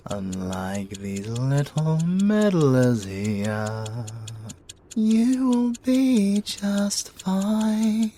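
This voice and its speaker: A man's voice: high voice, dark tone, slight background noise, heavily acted. A British man playing a possessive male siren, violent yet tenderly obsessive, crooning in an ASMR audio roleplay. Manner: slow, crooning, swinging from menacing to tender.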